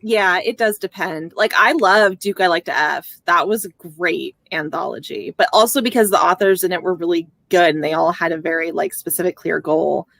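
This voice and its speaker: A woman's voice: on the low side, normal speed, creaky, few pauses, a bit bright, no echo. A woman chatting casually and expressively as a guest in an informal book discussion. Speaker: guest on a book readalong livestream. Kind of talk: casual chat.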